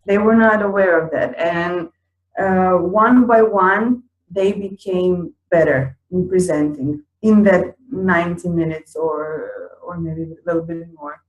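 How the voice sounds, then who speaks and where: A woman from Croatia with a Croatian accent, a teacher adding remarks to a conference talk, speaking haltingly with many fillers. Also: on the low side, fairly even intonation, echoey room, highs cut off. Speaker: teacher and co-presenter.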